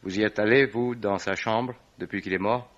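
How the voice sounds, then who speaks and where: An actor as an irritable, scared American man in a radio mystery play. His voice is low, gruff and suspicious, with hesitations, on a dull recording. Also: fairly even intonation, normal speed, natural voice. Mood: uneasy, suspicious.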